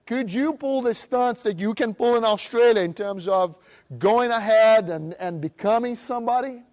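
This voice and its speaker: Brazilian guy giving a fired-up money seminar, pretty high voice, portuguese accent. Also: moderate pace. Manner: animated, acts out voices of others. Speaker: motivational speaker. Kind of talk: live seminar presentation.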